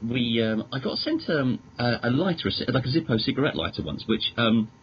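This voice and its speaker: A man's voice: mid-range voice, lively intonation, brisk. A British man chatting casually as an interview guest, talking in a hesitant, rambling but enthusiastic way. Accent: British English. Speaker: magazine editor, podcast guest. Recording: dull lo-fi audio with clear noise.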